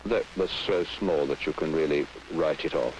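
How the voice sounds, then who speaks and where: A man interpreting into English in a measured, halting way, recorded in an old broadcast. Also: a bass voice, rough recording. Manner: measured, halting, restarts phrases. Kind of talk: live interpreting. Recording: old broadcast with faint hiss.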